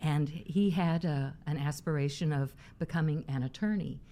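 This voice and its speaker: A woman in her sixties from Missouri speaks in a low voice. She is a talk show guest who chats freely and tells stories about her own life. She laughs often as she talks. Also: moderate pace, warm tone. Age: 60s. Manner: chatty storyteller, laughs often. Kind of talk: casual interview chat.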